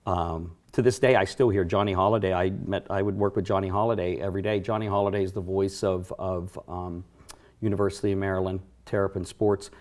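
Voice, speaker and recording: A man's voice: deep voice, steady tone, brisk, darkish tone. A middle-aged man from western Pennsylvania, a racing announcer guest, reminiscing in casual studio chat with fillers and self-corrections. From Johnstown, Pennsylvania area.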